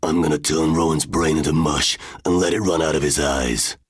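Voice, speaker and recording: A man plays a brutish fighter with an extremely deep, rough and husky voice. He talks in a sullen, menacing monotone, as a heavy in an audiobook thriller would. The recording is very dry. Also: normal speed, no room sound. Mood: sullen menace.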